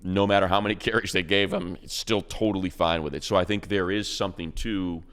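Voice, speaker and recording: A man co-hosting a sports podcast, breaking down a player with quick, enthusiastic stats and slangy praise. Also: sits low, steady tone, a bit bright, smooth. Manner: fast, enthusiastic stat-heavy breakdown. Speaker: radio play-by-play announcer, co-host.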